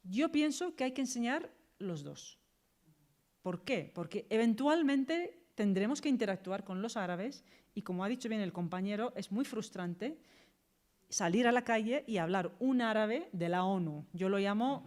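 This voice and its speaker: A woman's voice: medium pitch, expressive, normal speed, a little mellow. A woman with an accent from Spain answers as a university language lecturer, explaining in a direct, practical way with quoted examples. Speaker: university Arabic teacher and lecturer.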